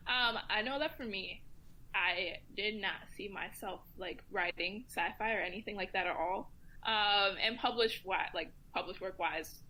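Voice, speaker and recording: A woman speaking as a guest commentator in a casual round-table discussion, relaxed and chatty with many ums and likes. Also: mid-range voice, a little slow, low-quality recording.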